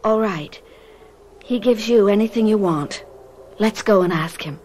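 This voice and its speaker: A woman's voice: mid-range voice, a little slow, a little mellow, a little hiss or hum, natural voice. An actress playing a charming, free-spirited, wilful girl in a radio drama, with an eager, coaxing manner. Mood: coaxing, happy.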